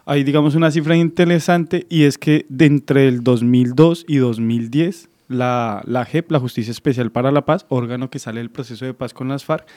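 A young man from Bogotá with a Colombian accent, explaining as an interview guest in a halting way, searching for words. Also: mid-range voice, steady tone, darkish tone, no room sound, studio quality. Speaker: interview guest, student activist. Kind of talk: explanatory radio interview answer.

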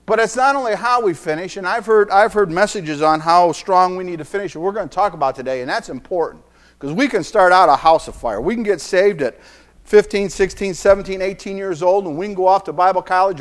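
A middle-aged man preaching a sermon in a church, earnest, often repeating phrases and swinging between soft and emphatic lines. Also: sits high, a little fast.

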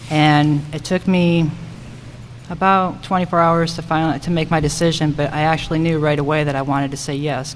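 A woman giving emphatic candidate remarks at a public forum, often repeating phrases for weight. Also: low voice. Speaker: city council member and candidate. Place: public forum room at a school. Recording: faint noise and room ambience.

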